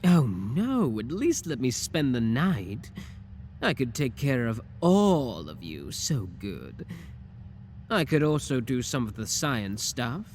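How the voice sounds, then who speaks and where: A man's voice: mid-range voice, big pitch swings, takes it slowly, a little mellow, a little hiss or hum. A man playing a flirty, swooping little clone scientist. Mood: smug, suggestive. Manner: suggestive, teasing, theatrical. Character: flirty, shameless tiny male clone scientist, playful and lewd. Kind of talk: ASMR audio roleplay acting.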